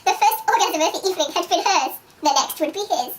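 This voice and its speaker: Very high, theatrical woman as romance narrator. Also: expressive, fast talker. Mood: amused melodrama. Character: over-dramatic, giggly female narrator of a steamy romance novel. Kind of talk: dramatic reading of romance fiction. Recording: distant mic with faint noise.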